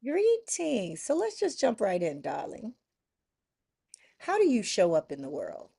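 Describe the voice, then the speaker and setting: A woman hosting an interview podcast, speaking warmly and familiarly to her guest. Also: medium pitch, huge pitch swings, a little slow, gravelly, husky. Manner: affectionate, calls guest darling and girl. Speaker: podcast host. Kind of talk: interview conversation.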